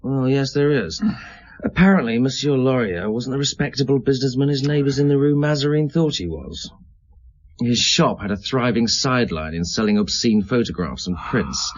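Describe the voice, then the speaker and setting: Man playing a husky, earnest police subordinate. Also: mid-range voice, moderate pace, muffled recording, natural voice. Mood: dutiful, matter-of-fact. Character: earnest, dutiful male police subordinate reporting to his chief. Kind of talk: radio drama acting.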